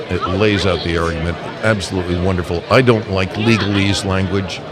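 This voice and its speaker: Busy crowd chatter surrounds a man with a fairly low voice. He talks casually as an interview guest, laying out his points step by step with emphasis, as a representative at a live convention event. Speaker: amateur radio association representative, interview guest.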